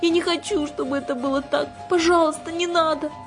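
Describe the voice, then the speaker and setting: Audiobook young girl played by a female reader: high, plaintive, timid and emotional, music behind. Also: animated, moderate pace, close to an everyday voice. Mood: distressed pleading. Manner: plaintive, earnest, high-strung. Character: timid, emotional young girl pleading for her lost puppy.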